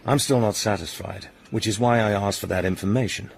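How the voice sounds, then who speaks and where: An actor playing a determined, suspicious British commander in a radio drama, husky and gravelly, polite but insistent. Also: male voice, on the low side, a little fast, slight background noise. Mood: firm, insistent.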